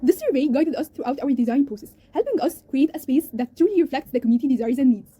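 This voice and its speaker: A woman's voice: high voice, animated, quick pace, warm tone. A woman of twenty-one, a student from Egypt, earnestly reading a scripted presentation. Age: 20s.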